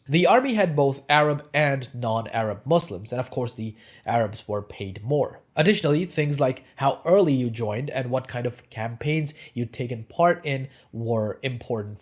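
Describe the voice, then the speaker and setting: A young man whose first language is Urdu reads a scripted history lesson in a mid-range voice. He hits words like "however" and "additionally" with a lift, then lets each sentence settle lower. The delivery is steady and explanatory. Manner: lively emphasis on transitions, falling at sentence ends. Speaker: history narrator.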